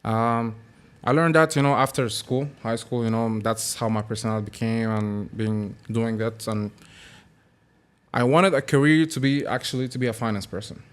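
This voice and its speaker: A man in his thirties from Sudan, a podcast guest talking in a relaxed, easygoing way and laughing often. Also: medium pitch, moderate pace, clear, resonant tone. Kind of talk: casual chat.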